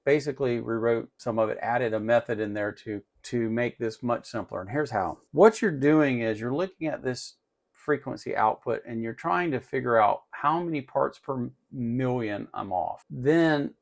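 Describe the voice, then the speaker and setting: A man's voice: mid-range voice, normal speed, creaky. A man hosting an electronics tutorial in a casual, unscripted way, explaining step by step as he works and often repeating himself.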